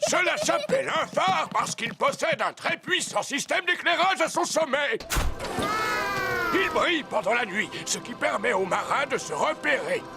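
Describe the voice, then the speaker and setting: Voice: high-pitched, expressive, normal speed, creaky, noisy background. An actor playing a lonely, eager old grandfather rabbit who keeps a lighthouse in a children's cartoon, exuberant, rambling and given to singing. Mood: enthusiastic. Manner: exuberant, rambling, bursts into song.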